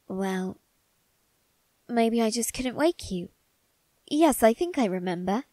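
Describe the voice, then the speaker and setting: A woman voicing a doting, secretive young male vampire aristocrat in a soft, intimate ASMR roleplay, with refined, coaxing phrasing. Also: a little high, expressive, no echo. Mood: gently soothing. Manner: coaxing, gentle, unhurried, refined phrasing with tag questions. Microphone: close, dry microphone.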